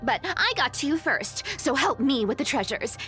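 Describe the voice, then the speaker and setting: Sly, lively young trickster demigoddess. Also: female voice, sits high. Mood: playful. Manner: playful, teasing, drawn-out delivery. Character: sly, teasing young female trickster demigod and treasure hunter. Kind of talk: anime-style game voice acting. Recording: music behind the voice.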